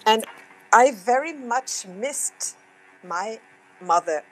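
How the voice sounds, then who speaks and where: A middle-aged woman speaks English with a French accent, reflective and unhurried. She pauses often and laughs softly. The sound comes through a laptop webcam on a video call from a home room. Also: on the high side, expressive, low-quality recording. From France. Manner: reflective, pausing, with soft laughs. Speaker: guest dancer and actress. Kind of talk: video call panel talk.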